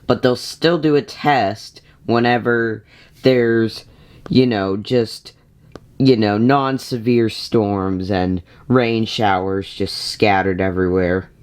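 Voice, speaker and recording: A man's voice: fairly low pitch, fairly even intonation, slow. A man giving casual commentary in a wry, mildly exasperated way, as a hobbyist narrating a video.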